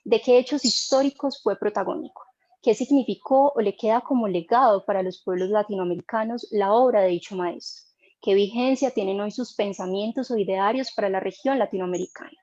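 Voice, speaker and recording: A woman moderating an online panel, reading a formal introduction in a measured way. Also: mid-range voice, darkish tone, muffled recording.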